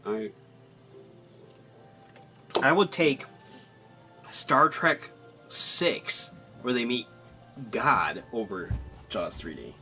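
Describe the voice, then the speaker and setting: A man chatting casually as he streams a game, joking and rambling in an animated way. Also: mid-range voice, extremely slow, long pauses, faint music behind. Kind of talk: casual gameplay commentary and chat.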